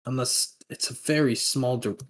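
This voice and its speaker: A man's voice: mid-range voice, fairly even intonation, moderate pace. A man with a medium voice speaks as a player in a roleplaying game. His talk is casual, staying in character without much performance.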